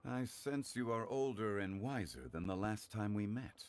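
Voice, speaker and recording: Man playing a low-voiced refined scholarly gentleman. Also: animated, a little hiss or hum, lightly acted. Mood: courteous. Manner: formal, eloquent, measured courtesy. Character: refined, courteous, scholarly gentleman with composed, ageless wisdom. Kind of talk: video game voice acting.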